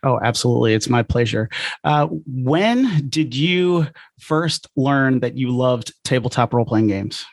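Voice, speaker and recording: A man's voice: mid-range voice, animated, unhurried, dry recording. Man hosting a podcast, dry close sound. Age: 40s. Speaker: podcast host. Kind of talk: podcast interview.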